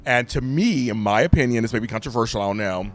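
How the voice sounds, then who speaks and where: A middle-aged man with a deep voice, speaking candidly and emphatically as a podcast guest. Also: quick pace. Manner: animated, emphatic storyteller. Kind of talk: candid conversation.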